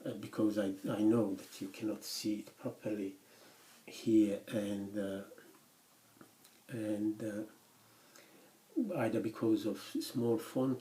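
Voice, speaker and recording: A man lecturing university students in English, which is his third language, speaking haltingly with many restarts and pauses. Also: lowish voice, dark tone. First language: not English; English is his third language after Swedish. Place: lecture room. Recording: echoey classroom recording.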